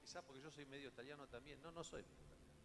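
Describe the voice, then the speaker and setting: A man's voice: mid-range voice, steady tone, rapid-fire. A man with a Rioplatense Spanish accent preaching a sermon, dramatic, with rhetorical questions and emphatic repetition. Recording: echoey hall, music behind the voice.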